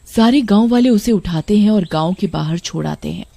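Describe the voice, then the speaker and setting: A woman giving scripted storytelling narration for a cartoon, in a mellow voice. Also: mid-range voice, fairly quick, creaky, slight background noise.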